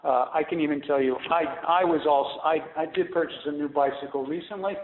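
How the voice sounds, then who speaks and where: A man speaking as a company executive on a conference call, explaining things off the cuff in a halting way with repeated and restarted phrases, over a phone line. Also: fairly high pitch, steady tone, a little hiss or hum. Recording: narrow tinny phone line, roomy echo.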